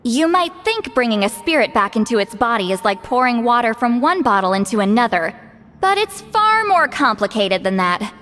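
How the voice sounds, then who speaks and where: Wry old granny medium. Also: female voice, fairly high pitch, sing-song, moderate pace, a bit bright. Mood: calm, assured. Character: wise, wry elderly granny spirit-medium of a village. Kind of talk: video game voice acting.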